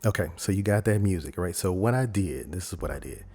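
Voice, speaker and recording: A man presenting a software tutorial in a casual, rambling, conversational way, talking informally through each step. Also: low voice, expressive, fairly quick, over music. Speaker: video editing tutorial host.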